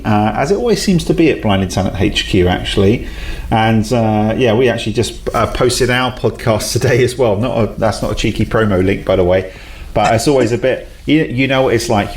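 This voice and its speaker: A man talks easily as an interview guest. His voice sits fairly low, and he jokes at his own expense and laughs readily. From South London, England. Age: 40s. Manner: chatty, self-deprecating, laughs often. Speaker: guest, head of a talent company. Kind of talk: casual interview chat.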